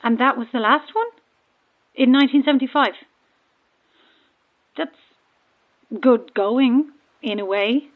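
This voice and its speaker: An actress playing an eerie, self-aware believer in ghosts calling from a stranded boat, conversational and cryptic, through a radio filter. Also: female voice, sits high, slow, long pauses, narrow, tinny audio. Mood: curious, probing. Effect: radio transmission filter. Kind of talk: naturalistic audio horror drama acting. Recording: thin, band-limited marine radio.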